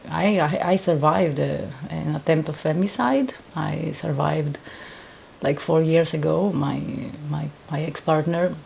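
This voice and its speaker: Low gravelly Greek-accented woman. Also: dark tone, a little hiss or hum, poor audio. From Greece. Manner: earnest, searching for words with many restarts. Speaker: painter and survivor giving testimony. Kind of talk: personal testimony interview.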